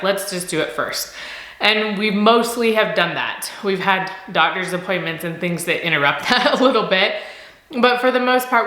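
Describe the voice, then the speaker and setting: A woman in her thirties, a homeschooling mom, chatting casually in a vlog, slightly nasal from a cold, upbeat and quick to laugh. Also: on the low side, bright, forceful tone, reverberant. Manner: upbeat, laughs often, repeats words for emphasis.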